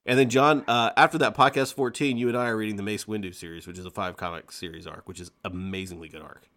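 Male podcast host, lowish voice, excitable casual chatter with emphatic repetition. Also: fast. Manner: excitable, repeats words emphatically. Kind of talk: casual chat on a fan podcast.

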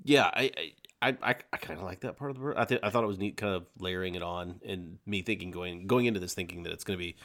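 A man with a fairly low voice talks very quickly as a podcast co-host. He chats casually and in an animated way, often correcting himself mid-thought. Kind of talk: casual chat reviewing an audio drama.

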